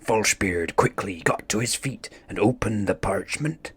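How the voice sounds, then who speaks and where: A man narrating a children's story in a theatrical, expressive read-aloud style. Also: lowish voice, moderate pace, breathy edge.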